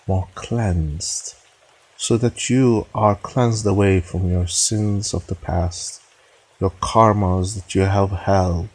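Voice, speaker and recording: A man with a deep, husky voice guides a meditation. His delivery is very slow and solemn, broken into short phrases with pauses, as he gives measured instruction. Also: dark tone, rough recording.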